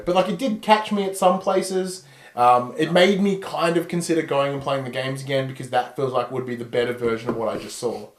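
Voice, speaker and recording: A man hosting a podcast, chatting casually about film in an irreverent, sweary way. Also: mid-range voice. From Australia. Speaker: podcast host. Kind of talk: casual film review chat. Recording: roomy, echoing room sound.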